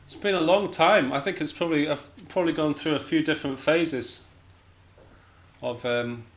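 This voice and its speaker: A man's voice: fairly high pitch, moderate pace. Understated man chatting in an interview. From Scotland. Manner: hesitant, self-deprecating, understated. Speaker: interview guest, jazz pianist and musician. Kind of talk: casual interview chat.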